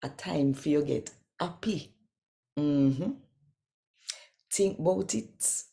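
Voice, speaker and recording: Low, mellow Jamaican woman reading devotionally. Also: slow pace, vocal fry. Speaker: host and scripture reader. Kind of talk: devotional scripture reading in conversational Patois.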